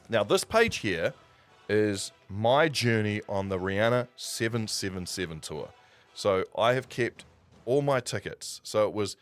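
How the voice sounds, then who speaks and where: A man with a New Zealand accent telling stories casually as a livestream host, in an animated raconteur's way with dramatic pauses. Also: fairly low pitch. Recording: music playing behind the voice. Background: background music.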